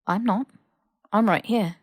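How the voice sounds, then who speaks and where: A woman's voice: mid-range voice, a little slow, no echo, clean studio sound, natural voice. A woman voicing a stubborn, teasing young male wizard in his twenties, casual and cheeky, for an audiobook. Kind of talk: audiobook character voice.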